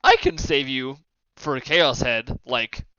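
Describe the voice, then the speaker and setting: A man's voice: mid-range voice, sing-song, slow pace, bright, forceful tone, smooth, no echo. A man hosting a casual podcast debate, opinionated and insistent, swearing freely. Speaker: podcast host. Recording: slightly muffled, lo-fi dry sound.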